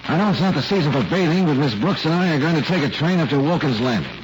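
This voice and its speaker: An actor playing a determined, wry private detective with a businesslike, conversational manner in 1940s radio drama. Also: male voice, on the high side, animated, fast, natural voice. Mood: wry, confident. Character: brisk, determined adult male private detective. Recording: muffled, noisy old radio recording.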